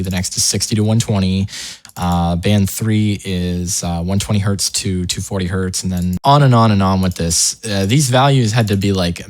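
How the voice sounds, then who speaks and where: Low-voiced man giving a casual tutorial. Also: a little mellow. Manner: informal, self-interrupting, wry asides. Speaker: tutorial host.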